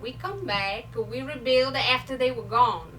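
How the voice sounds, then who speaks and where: A woman playing a feisty, stubborn elderly Italian immigrant grandmother in a comic stage monologue, speaking blunt, halting broken English with an Italian accent. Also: fairly high pitch, fairly bright tone. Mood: resolute, reflective. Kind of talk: live comedic stage monologue. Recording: distant mic, noisy live room.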